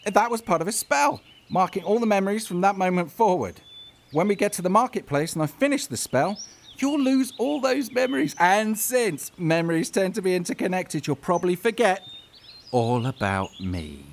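An actor playing a cheerful, sly trickster guide in a comedic fantasy audio drama, chatty, glib and theatrical. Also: male voice, high-pitched, very animated, normal speed, creaky, a little hiss or hum, full character voice. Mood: gleefully smug.

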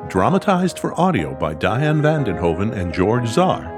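A man announcing an audio drama production in a measured, formal way. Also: on the low side, sing-song. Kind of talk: formal show introduction. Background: music.

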